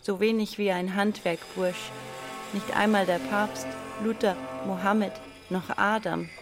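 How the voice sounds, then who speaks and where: A woman recites literary text for a radio play in a deliberate, declamatory manner. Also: mid-range voice, fairly even intonation. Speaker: reader of an inventor's writings. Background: music.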